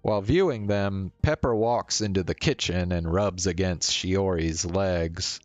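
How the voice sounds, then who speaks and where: A deep-voiced streamer reads a visual novel aloud at a slow, measured pace. The narration has a storybook feel, with faint music playing behind it. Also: a little mellow, no echo. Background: faint game music.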